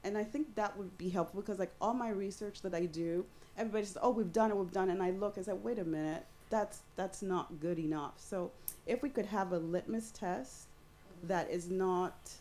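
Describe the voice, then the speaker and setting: Female audience member, loud and earnest, lower register, rambling question. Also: slight background noise. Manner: long-winded, earnest questioning. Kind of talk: question from the floor at a panel.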